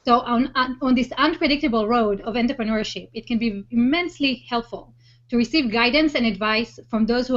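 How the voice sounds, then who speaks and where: Lady hosting an online interview, kinda high voice, upbeat intro style, muffled lo-fi sound. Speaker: host of a web show. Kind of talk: enthusiastic hosted introduction and interview. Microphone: online video hangout call. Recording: dull, lo-fi web call audio.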